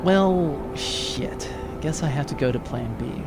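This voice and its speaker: A man's voice: fairly high pitch, animated, takes it slowly, over loud music. A man playing a gloating, sarcastic villain in an audio drama, theatrical and mocking. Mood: menacing.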